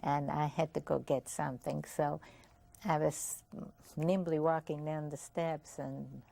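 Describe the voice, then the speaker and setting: An older woman speaks with a Viennese German accent, her voice very low and gravelly. The tone is dark and mellow, and she talks softly and reflectively, with small laughs between thoughts. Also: flat delivery, a little slow. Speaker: interviewee. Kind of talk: oral history interview.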